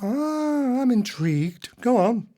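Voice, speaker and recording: A man's voice: very high voice, sing-song, takes it slowly, warm tone, exaggerated voice. A man playing an earnest, cynical retired husband in a radio play, speaking in a declamatory way. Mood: intrigued.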